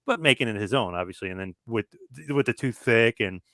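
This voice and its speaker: A man with a fairly low voice tells a long story on a podcast in an easy conversational way. The recording is dry and close. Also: fairly quick, a bit bright, dry recording. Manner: storytelling, quoting others in asides. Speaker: podcast co-host. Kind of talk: casual podcast chat.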